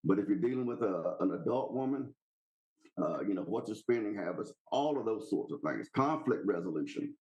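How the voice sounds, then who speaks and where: A man's voice: lowish voice, lively intonation, moderate pace, darkish tone. A man with a Southern US accent, calling in to a podcast and talking in a blunt, folksy, rambling way. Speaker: podcast caller. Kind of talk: casual opinionated chat.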